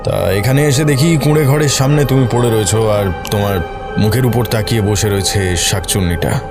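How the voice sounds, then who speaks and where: Narrator as protective, grave village husband, low voice. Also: male voice, a little fast, lightly acted. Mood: grave, emphatic. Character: protective village husband, reassuring, grimly explaining. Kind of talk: dramatised horror audio story. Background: music behind the voice.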